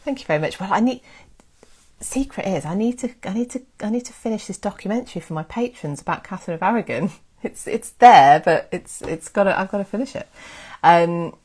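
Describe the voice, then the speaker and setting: A woman's voice: mid-range voice, brisk, breathy edge. Chatty woman hosting a live stream. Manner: excitable, chatty, thanks viewers by name. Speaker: history livestream host. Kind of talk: casual live-stream chat.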